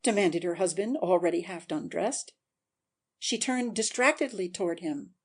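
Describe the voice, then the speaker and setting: A woman's voice: fairly low pitch, moderate pace, breathy edge. A woman narrator giving a scripted, dramatic audiobook reading.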